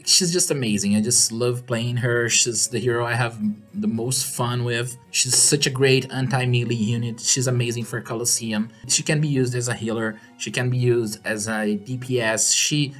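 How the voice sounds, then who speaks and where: A man's voice: medium pitch, steady tone, moderate pace, fairly bright tone, soft background music. Upbeat Brazilian man, chatty gamer. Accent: Brazilian Portuguese. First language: Portuguese. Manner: chatty and upbeat, laughs often. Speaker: gaming YouTuber answering viewer questions. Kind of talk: casual Q&A chat.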